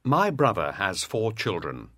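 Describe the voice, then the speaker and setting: A man reading a word list for language learners slowly and deliberately, one word at a time. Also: fairly low pitch, huge pitch swings, a bit bright, no echo. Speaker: course audio voice actor. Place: dry studio.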